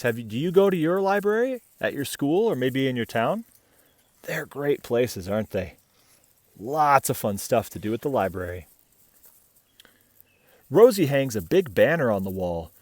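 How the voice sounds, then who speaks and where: A man reading a children's picture book aloud in an animated, playful way, chatting with and questioning the listener. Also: a little high, dry recording, studio quality. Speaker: picture-book reader, host.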